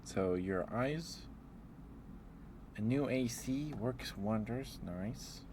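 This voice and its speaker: A man streaming ASMR, chatting in a soft, drowsy, rambling way close to the microphone. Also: mid-range voice, expressive, extremely slow, background noise. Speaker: live streamer. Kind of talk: rambling ASMR livestream chat.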